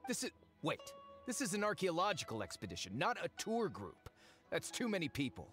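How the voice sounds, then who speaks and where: An actor playing a slick, smug and impatient researcher in an anime game, with glib, affected politeness. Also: male voice, a little high, wildly swooping pitch, a little fast, a bit bright, faint music behind. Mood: impatient, dismissive.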